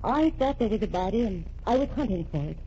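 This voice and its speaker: Actress as a cool accusing young reporter. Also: female voice, mid-range voice, animated, fast talker, dark tone, close to an everyday voice. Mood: explaining. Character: sharp young woman reporter confronting a killer. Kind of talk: 1940s radio acting. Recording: dull old radio recording with faint hiss.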